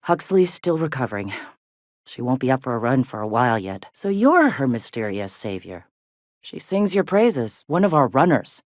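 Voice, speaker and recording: An actress playing a tough, weary rebel medic and second-in-command in a video game, speaking in a blunt, sardonic, foul-mouthed way. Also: female voice, rumbling low, big pitch swings, normal speed, dry recording, studio quality. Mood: wry, weary. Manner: blunt, earthy, plain-spoken, sardonic. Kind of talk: video game dialogue acting.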